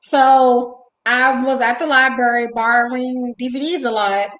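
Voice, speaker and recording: Young woman host, raspy and high, chatty livestream talk, echoey home room. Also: steady tone, measured pace, fairly bright tone, highs cut off. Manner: chatty, reads viewer comments aloud. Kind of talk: casual livestream chat. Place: home room on a video call.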